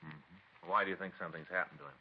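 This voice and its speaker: Chatty, stubborn detective partner, 1950s American radio, lowish pitch, folksy, muffled old recording. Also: male voice, steady tone, fast talker, slightly rough. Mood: casual, inquiring. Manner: folksy, insistent. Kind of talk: 1950s radio crime drama acting, conversational.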